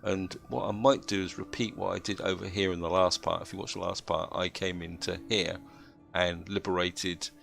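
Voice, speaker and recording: Deep gravelly man with a cold. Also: moderate pace, faint music behind. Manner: steady, explanatory, thinks aloud. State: coming down with a cold, croaky. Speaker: strategy game commentator. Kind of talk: gameplay commentary.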